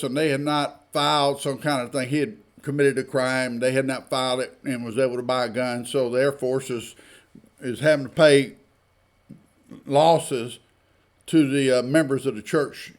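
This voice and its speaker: A man's voice: medium pitch, steady tone, normal speed. A man giving informal, opinionated news commentary in a blunt, folksy, indignant manner, often throwing out rhetorical questions. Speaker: online commentator.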